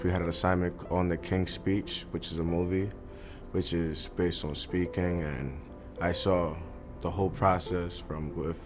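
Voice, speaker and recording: A man speaking as a student in an interview testimonial, reflective and unhurried. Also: deep voice. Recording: soft music underneath. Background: background music.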